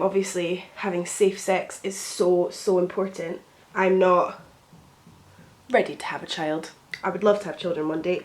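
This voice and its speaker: A woman's voice: fairly low pitch, a little mellow, poor audio. A young woman with a cold, sounding sniffly, chatting in a rambling way with frequent ums as she does her makeup for a get ready with me vlog at home. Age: young adult. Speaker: beauty vlogger.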